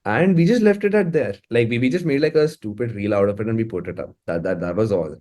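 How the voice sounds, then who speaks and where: Casting: Indian-accented man, low mellow voice, informal interviewee who doubles back on his words. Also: fast. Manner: informal, stammering repetition of words as thoughts form. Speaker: musician and music producer being interviewed. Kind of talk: casual interview chat.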